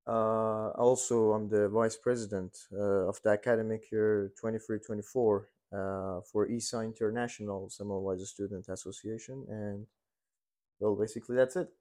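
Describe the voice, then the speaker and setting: A man's voice: on the low side, steady tone, normal speed, warm tone. A young man in his twenties from Iran, a dental student answering interview questions in a hesitant way, with many fillers and restarts. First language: Persian. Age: 20s.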